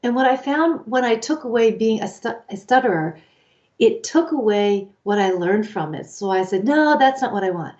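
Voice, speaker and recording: A woman in her fifties co-hosting a casual advice chat, explaining ideas in an animated way and acting out the inner voices she quotes. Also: on the high side, moderate pace, lo-fi sound. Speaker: co-host, life coach.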